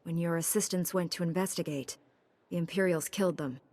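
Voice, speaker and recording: An actress playing a calm, direct young heroine knight in a video game cutscene. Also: female voice, fairly low pitch, brisk, close to an everyday voice. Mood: steady, concerned.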